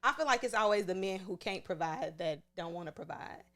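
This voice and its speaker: A young woman who works as a radio personality, a podcast guest chatting in a relaxed, laid-back way. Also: lowish voice, a little fast. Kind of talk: casual chat.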